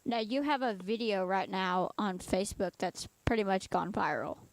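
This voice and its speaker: A woman's voice: mid-range voice, normal speed, no echo, low-quality recording. Chatty young woman interviewer, dry sound. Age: 20s. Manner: friendly, chatty, rambling questions. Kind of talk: casual interview.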